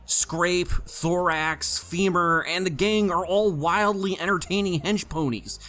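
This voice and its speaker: A man's voice: sits high, slow pace, pushed and bright, soft background music, full character voice. A man voicing a gushing, nerdy, chubby pony stallion fan in an audio play, talkative and opinionated. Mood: enthusiastic. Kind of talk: audio play character acting.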